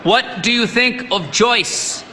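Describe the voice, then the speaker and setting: A man's voice: fairly low pitch, normal speed, background noise, lightly acted. A man voicing a sickly, pretentious young man. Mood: affected. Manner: muttering, affected and sullen.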